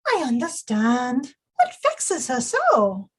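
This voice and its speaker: A woman's voice: high-pitched, huge pitch swings, slow, slightly rough, full character voice. A woman playing a sweet, eager young queen in a period audio drama, effusive and courtly. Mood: concerned.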